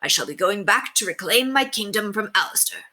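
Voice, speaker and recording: A proud storybook knight, tiny but sure of himself, acted out by a woman with formal, pompous phrasing. The delivery is bright and forceful, rising and falling in a lively way. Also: medium pitch, a little fast. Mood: self-assured. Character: proud, confident tiny knight, adult man.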